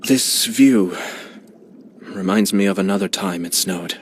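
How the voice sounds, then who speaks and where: An actor voicing a genteel, scheming young male clan head in a video game, speaking in a smooth, measured and courteous way with quiet amusement. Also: deep voice, slight background noise. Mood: composed. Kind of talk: video game character voice lines.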